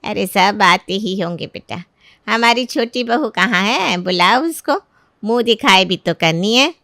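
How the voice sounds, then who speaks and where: A woman voicing a nosy middle-aged neighbour auntie in a storybook tale, teasing and effusive, with drawn-out words. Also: medium pitch, lively intonation, sharp tone, no room sound, studio quality.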